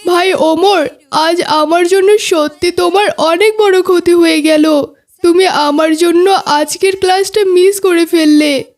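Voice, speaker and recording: High-pitched, earnest cartoon schoolboy played by a woman. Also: fairly even intonation, measured pace, a little mellow. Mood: remorseful. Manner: earnest, apologetic, formal phrasing. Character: remorseful, pleading schoolboy who has hurt himself. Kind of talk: cartoon dialogue.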